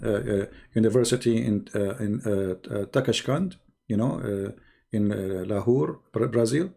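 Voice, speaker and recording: Gravelly, sarcastic man. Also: mid-range voice, warm tone. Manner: sarcastic, mocking, halting. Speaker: channel host. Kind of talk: mocking livestream commentary.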